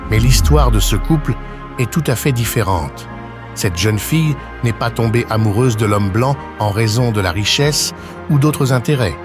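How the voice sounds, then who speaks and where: Male narrator for a scripted human-interest documentary, mid-pitched, with a clear music bed. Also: expressive, moderate pace.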